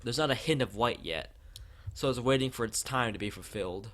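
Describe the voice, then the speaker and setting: A man's voice: medium pitch, fairly quick, a bit bright. A man from the United States, a let's play streamer, reading a visual novel aloud.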